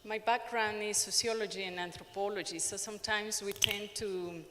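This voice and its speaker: A woman from Ecuador with an Ecuadorian accent, giving an academic conference talk in a halting way, with frequent pauses and restarts. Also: medium pitch, fairly even intonation, big-room sound, noisy background. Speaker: conference speaker.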